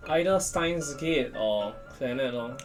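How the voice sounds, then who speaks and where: Mid-pitched man explaining casually, faint music. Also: animated, takes it slowly. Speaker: talk show guest. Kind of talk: casual chat, explaining visual novels.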